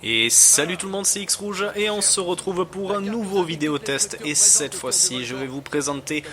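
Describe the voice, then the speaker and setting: A man giving casual, halting commentary as he plays and reviews a game. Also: on the high side, lively intonation, crowd noise. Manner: hesitant, halting.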